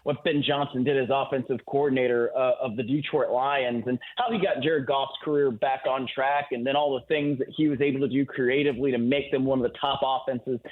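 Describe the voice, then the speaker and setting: A man's voice: mid-range voice, fairly even intonation, brisk, hardly any pauses. A man giving off-the-cuff football analysis as a guest on a sports show, explaining at length in a conversational way and often checking in with "right?". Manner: conversational, long explanatory answers peppered with "you know" and "right?". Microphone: phone or remote call-in line. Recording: thin, narrow phone-line sound.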